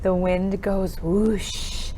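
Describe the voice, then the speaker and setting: A woman's voice: mid-range voice, flat delivery, drawn-out words, dark tone, slight background noise. A woman presenting an interactive storytime for young children, with counting songs and exaggerated exclamations and questions.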